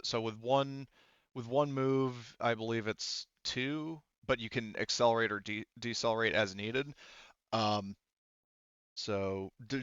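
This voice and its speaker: A man's voice: medium pitch, a bit bright, smooth, dry recording, highs cut off. A man running a tabletop game as game master, talking casually and hesitantly as he thinks through and explains the rules.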